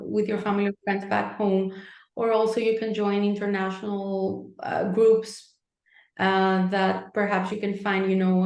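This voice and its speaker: A woman gives calm, encouraging advice as a guest therapist. Her voice carries a gravelly edge, and she sounds recorded in an echoey room. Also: mid-range voice. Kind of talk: advice-giving interview talk.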